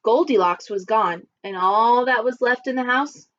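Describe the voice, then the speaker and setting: A woman's voice: on the high side, unhurried, a bit bright, dull audio. A woman primary school teacher speaking expressively and instructively to young children in simple phrasing, as in a read-aloud lesson.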